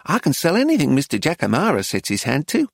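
Audiobook role: bossy middle-aged woman art dealer, played by a man, pitched high, swooping delivery, close dry sound. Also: huge pitch swings, fast talker, creaky, dry recording, exaggerated voice. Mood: insistent, selling. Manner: scolding, emphatic saleswoman. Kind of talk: acted audiobook dialogue.

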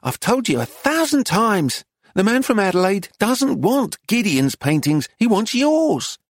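Audiobook role: bossy middle-aged woman art dealer, played by a man, pitched high, swooping delivery, close dry sound. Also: sing-song, measured pace, no echo, full character voice. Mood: scolding, exasperated. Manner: scolding, emphatic saleswoman. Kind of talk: acted audiobook dialogue.

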